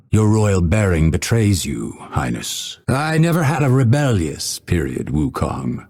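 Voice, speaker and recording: Game assassin: low, expressive delivery, aloof and scholarly, haughty formal phrasing, husky edge. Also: male voice, full character voice. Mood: cool, dry. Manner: measured, formal, haughty, dryly witty. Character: proud, scholarly male assassin, aloof and coolly menacing. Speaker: voice actor. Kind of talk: video game character voice lines.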